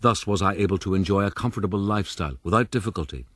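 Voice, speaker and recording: A man's voice: low voice, very fast, fairly bright tone, no room sound, clean studio sound. An actor playing an urbane rogue who narrates his youth formally and wryly in a radio drama. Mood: reflective, urbane. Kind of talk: scripted first-person radio drama narration.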